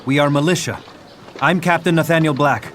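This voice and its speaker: An actor playing an earnest, defiant young warrior and militia captain in a video game cutscene, resolute in manner. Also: male voice, on the high side, brisk, a bit bright, a little hiss or hum, close to an everyday voice. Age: young adult.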